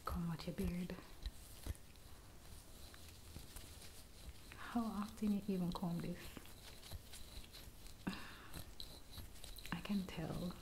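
A woman in her thirties with a Jamaican accent, voicing a rude, impatient barber in a soft-spoken ASMR roleplay, recorded close to a binaural mic in a small room. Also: on the low side, fairly even intonation, brisk, noisy background, natural voice. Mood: curt. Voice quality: soft-spoken, often whispering. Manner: curt and scolding. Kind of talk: ASMR personal attention roleplay. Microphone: close binaural mic, leaning toward the camera.

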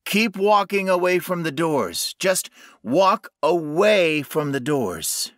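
Narrator doing a young guy trapped somewhere, calling out urgently, voice pushed up high, horror audiobook, dry sound. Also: lively intonation, a little slow, pushed and bright, no echo. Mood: urgent, guiding.

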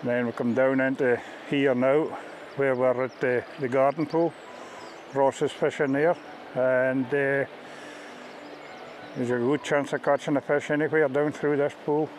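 Man, middle-aged, Scottish accent, fishing ghillie, halting informal explanation, plain-spoken, faint outdoor noise. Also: mid-range voice, level tone, rough recording. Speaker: fishing ghillie on a river beat. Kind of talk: informal interview-style explanation of a fishing beat. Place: outdoors on a riverbank. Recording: outdoor ambient noise behind voice.

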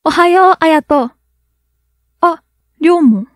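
A woman's voice: very high voice, lively intonation, slow, no echo, clean studio sound, heavily acted. A young woman playing a friendly, easily flustered schoolgirl with a crush in a radio drama. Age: young adult. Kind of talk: Japanese student radio drama acting.

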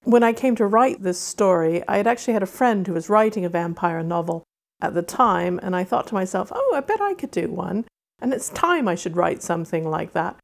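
A woman's voice: mid-range voice, expressive, brisk, gravelly. A woman author with a mid-Atlantic accent, from Wales and Arizona, speaking about and reading her fiction. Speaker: author reading and discussing own novel.

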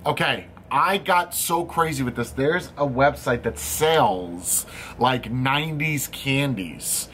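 Man, thirties, animated candy-tasting chat, mid-pitched voice, faint hum behind. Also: slow pace. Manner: animated, swears casually, chatty storytelling. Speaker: host. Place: studio room with equipment racks.

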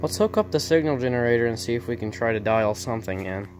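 Regular guy, medium pitch, casually talking thru a repair project in his workshop, kinda halting, handheld cam. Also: lively intonation, soft background music. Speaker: vintage TV repair hobbyist narrating his video. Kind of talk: casual off-the-cuff workbench narration. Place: indoor home workshop.

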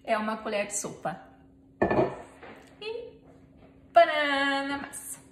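Woman, 30s, home cooking vlogger; chatty, playful, slow and unhurried delivery, echoey kitchen with steady background noise. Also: high-pitched. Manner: chatty and playful, talks to viewers and her helper while kneading. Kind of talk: casual cooking demonstration.

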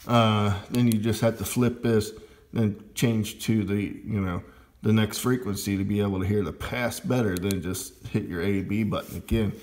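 A man giving a casual, unscripted hands-on demonstration of his hobby equipment, talking in a halting way. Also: on the low side, steady tone, darkish tone. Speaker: amateur radio hobbyist demonstrating gear.

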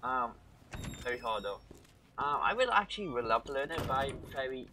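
A man's voice: medium pitch, big pitch swings, brisk, background noise. A young man with a Welsh accent, rambling casually to his chat while streaming a game from home on a headset. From Wales. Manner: rambling, chatty, talks to chat while playing. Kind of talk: casual live-stream commentary. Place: home room, indoors.

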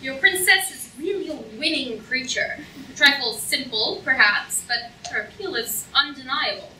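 A woman playing a polite, sadistic torturer count, deliberate and clinical, in a staged reading of a comic play. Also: sits high, pushed and bright. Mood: suave. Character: courteous, sadistic torturer count, coolly scholarly. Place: auditorium stage, recorded from the audience. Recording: echoey hall, distant, noisy audience room.

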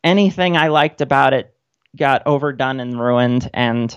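A woman's voice: a bass voice, a little slow, clear, resonant tone, no echo. A woman in her thirties chatting casually and wryly as a guest in a radio interview. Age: 30s. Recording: dull, band-limited audio.

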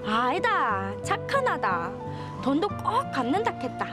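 A woman's voice: high voice, wildly swooping pitch, a little slow, heavily acted. An actress playing a stubborn, trusting country girl with a Gyeongsang accent in a children's TV drama, earnest and plain-spoken. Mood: earnest, insistent. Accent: Gyeongsang Korean. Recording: loud music behind.

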